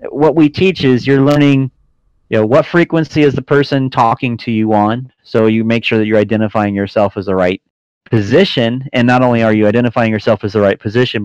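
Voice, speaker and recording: A man's voice: fairly low pitch, smooth, dry recording. A man talking as a podcast guest, explaining things casually and correcting himself as he goes, on slightly lo-fi audio. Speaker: podcast guest, flight-sim air traffic controller and trainer.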